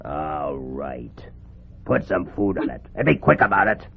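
An actor playing an ugly, demanding talking frog in a radio fairy tale, gruff and bossy, with croaking ribbits. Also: male voice, very deep voice, very animated, unhurried, exaggerated voice. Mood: gruff, impatient. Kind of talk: old-time radio fairy tale acting. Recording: dull, noisy old radio recording.

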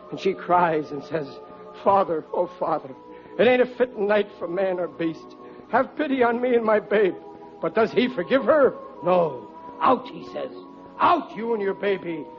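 An American man acting a plaintive, overwrought, self-pitying but soft-hearted middle-aged father in an old-time radio comedy. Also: high voice. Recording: muffled old radio recording, studio audience, hiss.

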